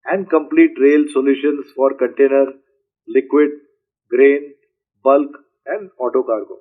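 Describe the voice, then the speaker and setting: Indian businessman on a phone-like line. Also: mid-range voice. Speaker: company executive presenting results. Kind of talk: formal scripted business report. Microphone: conference call phone line. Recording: phone-like conference call line.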